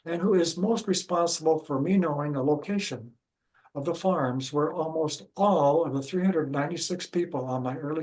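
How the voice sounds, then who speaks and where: An older man with a Midwestern American accent, a local historian giving a prepared talk in a measured, careful way. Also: a little high, rough recording. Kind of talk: reading a prepared lecture.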